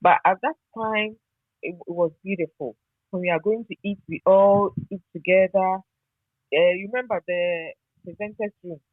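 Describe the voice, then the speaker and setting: A woman's voice: mid-range voice, fairly even intonation, moderate pace, dry recording. Ghanaian woman on a tinny phone line. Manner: nostalgic, emphatic repetition. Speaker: interviewee, former radio newsreader. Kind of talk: conversational, reminiscing interview.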